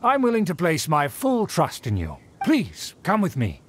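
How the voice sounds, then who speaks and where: Pompous, lively snobbish nobleman. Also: fairly high pitch, measured pace, breathy edge, exaggerated voice. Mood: gracious, confiding. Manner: pompous, formal, flowery speech. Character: pompous, snobbish fallen nobleman in a fantasy game. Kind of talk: video game character acting. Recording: background music under the voice.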